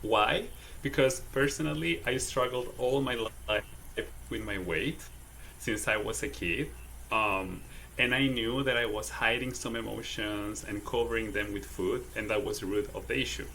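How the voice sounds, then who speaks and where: Male wellness coach with a Chilean accent, English not his first language, bright clear timbre, a slight hiss under the voice. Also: fairly low pitch, normal speed, low-quality recording. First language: Spanish. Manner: hesitant, says "okay?" often. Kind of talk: casual livestream interview.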